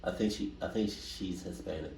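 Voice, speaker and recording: A low-pitched man gives loose, hesitant, slangy commentary like a reaction host. He sounds roomy and slightly distant, with faint noise around him. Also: normal speed, gravelly, darkish tone. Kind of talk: casual, unscripted commentary.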